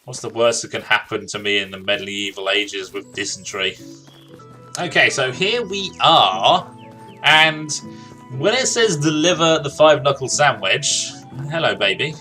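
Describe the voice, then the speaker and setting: Bright-voiced man doing let's play commentary. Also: mid-range voice, expressive, a little slow, faint music behind. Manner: jokey, casual, talks to himself and to the game. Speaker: gaming streamer. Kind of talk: let's play commentary, reading game dialogue aloud.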